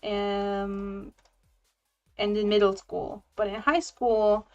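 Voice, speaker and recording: Woman, native Romanian speaker, unhurried, halting, muffled lo-fi sound. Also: mid-range voice, flat delivery, darkish tone. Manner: slow, hesitant, with frequent fillers. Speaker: guest artist. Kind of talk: casual chat on a live stream. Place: at home, living in Germany. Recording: dull lo-fi sound with faint music.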